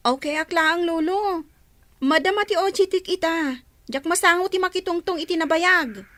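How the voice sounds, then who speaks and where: A woman's voice: very high voice, moderate pace, a bit bright, no echo. A woman playing a young granddaughter who speaks politely but sounds impatient. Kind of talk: radio drama acting.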